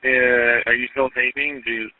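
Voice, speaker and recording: A man's voice: medium pitch, flat delivery, moderate pace. A man speaking as a police commander, giving instructions over a narrowband two-way radio. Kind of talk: police radio dispatch traffic.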